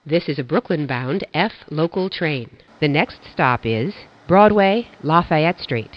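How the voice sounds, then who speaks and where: An automated-sounding subway announcer reading stops and transfers in flat, measured phrasing. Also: mid-range voice. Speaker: train announcer. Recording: dull lo-fi audio.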